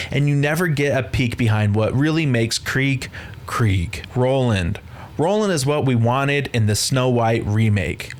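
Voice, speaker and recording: Guy doing a scripted movie review, middle pitch, dry sarcasm, pretty dismissive. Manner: sardonic, dismissive. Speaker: film reviewer. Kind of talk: scripted video essay commentary.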